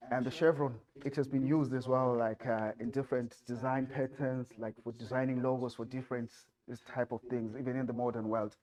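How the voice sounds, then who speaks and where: Halting man from Zimbabwe presenting slides. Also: mid-range voice, steady tone, darkish tone. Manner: halting, with many fillers and restarts. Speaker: designer giving a talk. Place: lecture hall.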